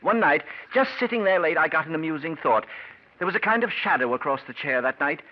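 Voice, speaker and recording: Male actor as coolly menacing schemer, slightly high voice, insinuating, falsely courteous, vintage radio acting, muffled old recording. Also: animated, lightly acted. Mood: sly confiding. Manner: measured, insinuating, falsely polite delivery. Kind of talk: 1940s-50s radio drama acting.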